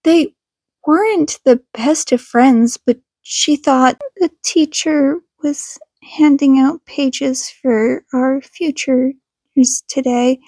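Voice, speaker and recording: A woman narrates a piece of fan fiction in audiobook style. Her voice sits high, and she moves through the text at a slow pace. The sound is dry, with very little room around it. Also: a little mellow, no room sound. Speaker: podfic reader.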